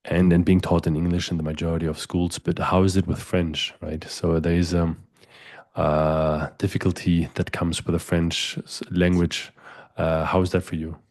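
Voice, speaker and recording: A man's voice: deep voice, level tone, normal speed, a little mellow, dry recording, studio quality. A middle-aged man hosting a podcast, asking long, winding interview questions with frequent restarts. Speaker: podcast host.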